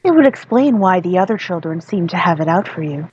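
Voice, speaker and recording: A woman's voice: fairly low pitch, lively intonation, fairly quick, darkish tone, dull audio, voice pushed up, natural voice. A woman voicing a friendly, apologetic schoolteacher with an effusive, gushing manner in a story reading. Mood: chatty.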